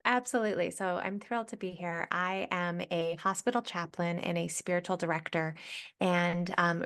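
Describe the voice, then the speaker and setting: A woman hospital chaplain with a nasal voice, speaking thoughtfully with many fillers in a podcast interview. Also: fairly low pitch. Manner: thoughtful, many ums and uhs. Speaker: hospital chaplain and spiritual director.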